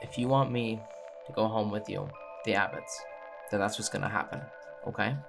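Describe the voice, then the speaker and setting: A man's voice: lowish voice, steady tone, moderate pace, vocal fry, over music. A man improvising dialogue and narration as a player in a tabletop role-playing game.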